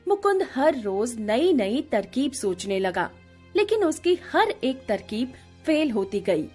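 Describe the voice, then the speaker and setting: A woman's voice: a little high, a little mellow. A woman reading a scripted story as its narrator.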